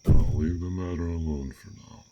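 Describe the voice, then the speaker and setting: A man voicing a gentle, bashful dragon in an intimate fantasy audio roleplay, speaking haltingly in formal, old-fashioned words. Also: low-pitched, steady tone, very noisy, natural voice. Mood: curious, musing. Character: fearsome but gentle, bashful, curious male dragon. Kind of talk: roleplay audio acting, intimate one-sided dialogue.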